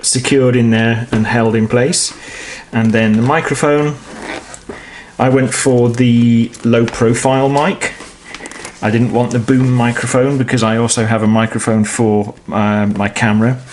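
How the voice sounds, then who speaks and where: A British man talks through a hands-on installation step by step. He is informal and matter-of-fact, explaining as he works at a medium pitch. There is some faint noise and clicking on the recording. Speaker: presenter of a how-to product installation video. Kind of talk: informal step-by-step demonstration commentary.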